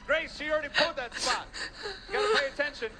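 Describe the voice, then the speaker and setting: A man announcing a halftime game, excitable and animated. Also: very high voice, fast, over music, rough recording. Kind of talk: live event commentary.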